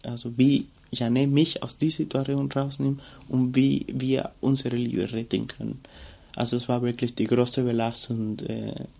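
Reflective man, gravelly voice. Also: medium pitch, dark tone. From Cuba. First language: Spanish. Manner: reflective, searching for words with many fillers. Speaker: interviewee. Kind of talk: personal storytelling.

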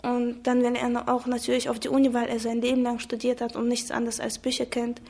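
A young woman from Chechnya, a native Chechen speaker, giving a reflective, hesitant personal account with frequent pauses. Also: a little high, completely flat delivery, vocal fry, darkish tone. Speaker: interviewee. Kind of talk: personal testimony.

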